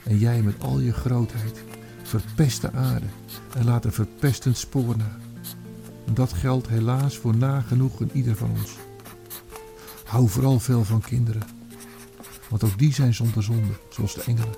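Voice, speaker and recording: An actor playing a remorseful old army commander counselling his son, in a solemn, deliberate radio drama monologue. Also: medium pitch, steady tone, creaky, music in the background. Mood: tender, solemn. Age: older.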